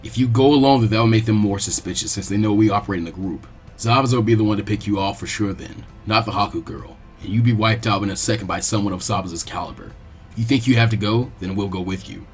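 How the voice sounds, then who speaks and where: A calm senior ninja leader, as a man reads him in a story. His voice sits low and sounds measured and assured. Faint music plays underneath. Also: lightly acted. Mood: firm, assured. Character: calm adult male ninja team leader.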